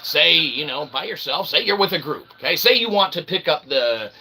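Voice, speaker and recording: American male host, somewhat high-pitched, rambling sarcastic monologue, noisy home recording. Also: animated, moderate pace, vocal fry, bright, forceful tone. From United States. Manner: sarcastic, rambling, full of fillers and asides. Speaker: channel host.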